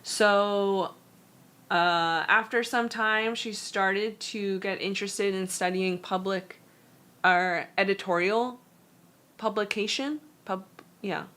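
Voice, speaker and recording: A woman hosts a casual livestream, talking slowly and unhurriedly. Her delivery is loose and chatty, full of fillers as she reads out and translates messages. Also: medium pitch, fairly even intonation, a bit bright, clean tone. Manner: halting non-native Spanish. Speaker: stream host. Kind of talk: casual livestream art critique chat.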